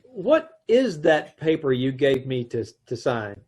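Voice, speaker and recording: Guy doing a fed-up husband, husky and wry, voice jumps around a lot, radio play. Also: medium pitch, big pitch swings, takes it slowly, darkish tone, heavily acted. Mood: exasperated. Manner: exasperated, wry. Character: put-upon husband, adman Mister Ace. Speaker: narrator of the story. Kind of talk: amateur old-time radio play reading.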